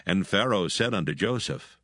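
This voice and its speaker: A man's voice: sits low, lively intonation, moderate pace, a bit bright, no room sound, studio quality. A man narrating scripture as a dramatized reading, in a solemn and formal manner. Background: soothing background music.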